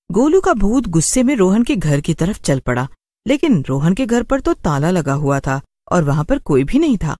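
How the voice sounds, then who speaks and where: A man's voice: a little high, warm tone, no room sound, studio quality. A man narrating a scripted children's horror story as a storyteller.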